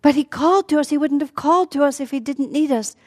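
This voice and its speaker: A woman's voice: mid-range voice, measured pace, darkish tone, natural voice. A woman voicing a young royal wife in a told story, insistent and emotional as she pleads. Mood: frantic pleading. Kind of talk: storytelling acted dialogue. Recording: hall with long reverb.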